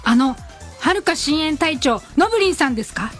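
A woman acts out a sweet young idol in a radio comedy skit. Her voice is high and cutesy, politely coaxing, with faint music behind. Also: animated, moderate pace. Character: sweet young female voice-actress idol, gently chiding.

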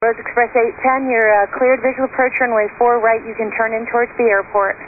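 A woman's voice: fairly high pitch, fairly even intonation, brisk. A woman working as an air traffic controller, giving matter-of-fact instructions over aviation radio. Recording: tinny narrowband air traffic radio, faint static.

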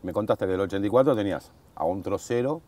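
A man's voice: on the low side, fast talker, a little mellow. A man in his forties with an Argentine accent, hosting a casual conversational interview. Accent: Argentine Spanish. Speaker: host, interviewer. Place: outdoor garden patio, at a dining table.

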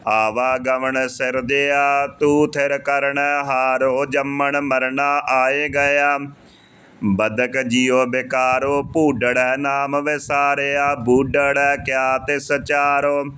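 A man reciting Sikh scripture in a measured, even, chant-like reading. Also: mid-range voice, clear, resonant tone. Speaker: scripture reader.

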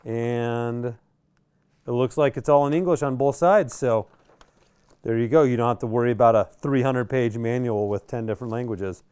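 A middle-aged man with an American accent and a mid-pitched voice gives casual product commentary. He is laid-back and conversational, talking through his thoughts as he handles things at a table. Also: normal speed, darkish tone, smooth. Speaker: product reviewer and presenter. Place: indoor radio workshop.

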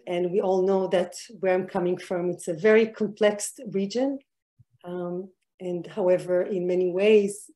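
A woman's voice: on the low side, dark tone. A middle-aged woman from Israel, an artist answering interview questions over a video call, speaking reflectively with many fillers and restarts. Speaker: artist guest.

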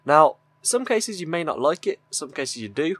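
A young man giving a casual, upbeat YouTuber voice-over for a software tutorial. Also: fairly high pitch, sing-song, moderate pace, dry recording. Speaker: tutorial video host. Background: background music.

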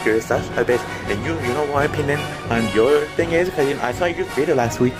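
A man's voice: mid-range voice, fast, over loud music, lightly acted. A man playing a foul-mouthed grandmother. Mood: furious. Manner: brash, insulting, trash-talking. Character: bossy older grandmother, cursing and vindictive. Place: small cluttered bedroom, indoors. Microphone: phone camera at arm's length.

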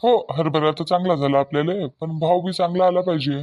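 High-voiced village farmer, acted by a man. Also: fairly even intonation, brisk, a little mellow, highs cut off, natural voice. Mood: practical. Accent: Varhadi (Vidarbha) Marathi. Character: friendly village farmer, practical family man. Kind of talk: cartoon village dialogue.

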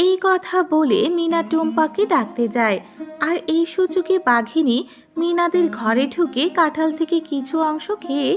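A woman reading scripted narration for a children's animated fairy tale. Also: sits high, moderate pace, soft background music.

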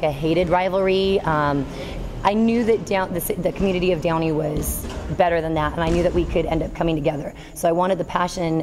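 Low-voiced woman coach in a noisy gym. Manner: earnest, talks through her thoughts with restarts. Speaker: girls volleyball coach. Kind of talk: postgame interview. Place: high school gym, indoors.

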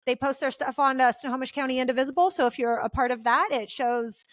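An American woman with a fairly high voice hosts an interview podcast. She talks casually and earnestly, effusive and informal with her guest. The sound is dry, with little room on it. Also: fairly even intonation, quick pace, a bit bright, no room sound. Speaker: podcast host.

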